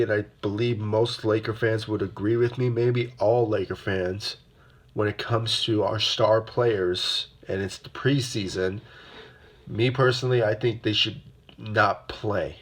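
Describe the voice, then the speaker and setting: A young man hosting his own basketball fan channel, talking loosely with lots of fillers, his voice a bit rough from a sore throat. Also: fairly low pitch. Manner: rambling, many fillers and repeated "obviously". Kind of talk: casual solo sports commentary.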